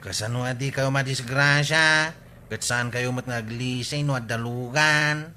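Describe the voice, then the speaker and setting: Bright-voiced Ilocano villager. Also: male voice, medium pitch, steady tone, unhurried. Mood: worried scolding. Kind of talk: comedic radio drama acting.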